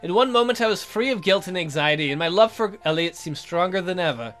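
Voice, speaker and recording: Male game host, very high voice, theatrical reader of romance narration, music underneath. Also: lively intonation, fast, fairly bright tone. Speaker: gaming video host. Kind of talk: reading visual novel narration aloud.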